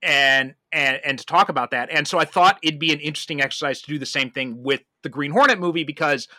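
Guy hosting a movie podcast, kinda high bright voice, just chatting, really dry sound. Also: quick pace, no room sound. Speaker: podcast host. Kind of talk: casual film discussion.

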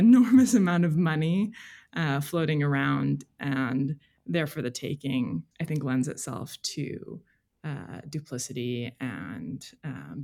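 A woman journalist speaking as an interview guest, explaining things in a measured, detailed, conversational way. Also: low-pitched, creaky, darkish tone. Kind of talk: conversational interview, recounting reporting.

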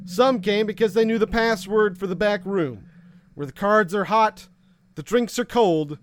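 A man narrating a noir story in the second person as game master, with a wry, deadpan delivery. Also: high-pitched, unhurried, slight background noise.

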